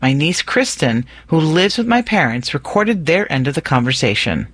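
A woman's voice: low-pitched, very animated, normal speed, husky, dry recording. A woman works as a radio producer, narrating and putting simple questions to her family. The sound is dry and close.

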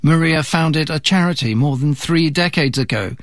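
A man's voice: on the high side, normal speed, no room sound. Male reporter in a dry studio. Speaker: news reporter. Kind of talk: scripted radio report narration.

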